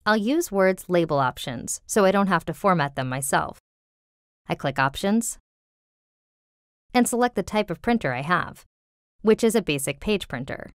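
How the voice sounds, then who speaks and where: Lower-voiced woman narrating a script. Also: animated, moderate pace, long pauses, clean tone, no echo, studio quality. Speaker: voiceover artist. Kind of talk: scripted commercial and tutorial narration.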